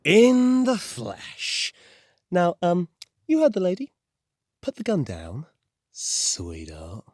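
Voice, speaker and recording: A man's voice: high voice, huge pitch swings, slow, darkish tone, no echo, studio quality, heavily acted. A man playing a cocky young mafia heir in an audio drama, brash, sarcastic and taunting. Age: young adult.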